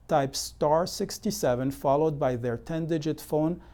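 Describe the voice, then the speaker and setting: A man delivering scripted instructional narration as an educational presenter, in a measured, methodical, explanatory way. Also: fairly high pitch, mellow. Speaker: presenter of real estate consumer education.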